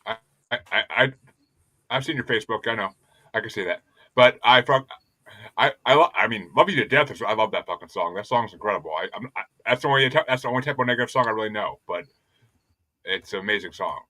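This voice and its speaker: Bright-voiced, profane man chatting about music. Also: fairly low pitch, fast talker, no room sound. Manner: profane, emphatic, repetitive. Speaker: podcast host and panelist. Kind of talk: casual opinionated music podcast chat.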